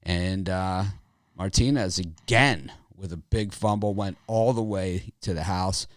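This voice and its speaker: A man with a raspy voice hosting a sports betting show, talking casually in a rambling, opinionated way with lots of fillers. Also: deep voice, unhurried, no room sound, studio quality.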